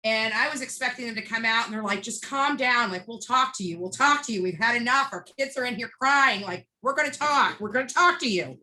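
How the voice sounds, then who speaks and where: A woman's voice: a little high, a little fast, few pauses, bright, forceful tone, poor audio. A woman being interviewed, telling a story casually in a blunt, emphatic way and acting out bits of dialogue as she narrates. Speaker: interview guest, street protester.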